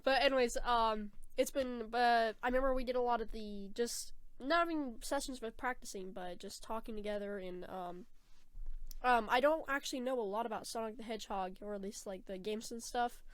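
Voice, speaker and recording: A woman's voice: a little high, fairly quick, dry recording. A woman who voice acts, chatting informally as one of a cast. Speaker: fan dub voice actor. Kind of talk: casual chat.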